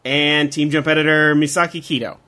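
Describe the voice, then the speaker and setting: A man's voice: fairly high pitch, flat delivery, a little fast, pushed and bright. A man hosting a podcast, asking loose, friendly questions full of fillers. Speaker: podcast host. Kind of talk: casual interview.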